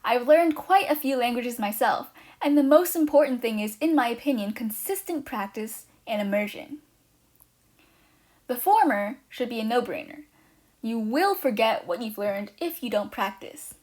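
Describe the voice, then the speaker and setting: A woman with a slightly higher voice introduces her language course. She talks quickly and eagerly, with a touch of nervous energy, reading from a prepared script. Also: expressive, a little mellow. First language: not Chinese. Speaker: language teacher, channel host.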